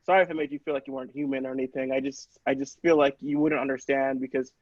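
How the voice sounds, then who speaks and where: A man's voice: medium pitch, flat delivery, fast, a little mellow, no room sound, lo-fi sound, natural voice. A man playing a nervous, star-struck gas station clerk in improvised comedy, meek, hesitant and rambling. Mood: self-pitying.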